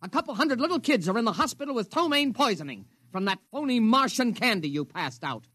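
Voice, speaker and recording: An actor playing an angry, contemptuous city official in a 1950s radio drama. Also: male voice, extremely high pitch, sing-song, brisk, sharp tone, no room sound, clean studio sound, exaggerated voice. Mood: scornful. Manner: contemptuous, barking. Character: angry, scornful male mayor's aide.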